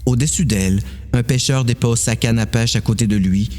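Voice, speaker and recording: A man's voice: medium pitch, normal speed, a little mellow, soft background music. A man with a Quebec French accent narrating a dramatised horror story.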